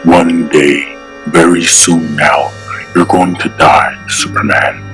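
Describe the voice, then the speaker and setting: Man voicing a very deep, arrogant comic-book villain. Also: flat delivery, a bit bright, soft background music, heavily acted. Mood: domineering, threatening. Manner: pompous, deliberate, threatening. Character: arrogant, menacing male billionaire villain, ruthless and domineering. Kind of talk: dramatic comic-book voice acting.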